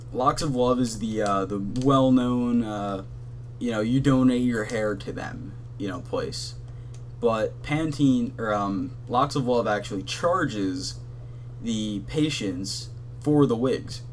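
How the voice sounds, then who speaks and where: A young man with a monotone voice, rambling casually with lots of fillers and swearing as the host of a gaming channel, talking from his bedroom. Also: mid-range voice, slow, slight background noise. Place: hot bedroom at his console.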